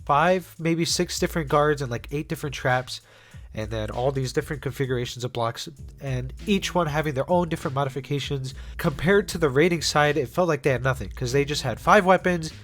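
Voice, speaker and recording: A man's voice: fairly high pitch, brisk, a bit bright, soft background music. A young man with a congested voice, narrating a scripted video essay on a game in a casual way, with fillers and restarts. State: congested after being sick. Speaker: gaming YouTuber.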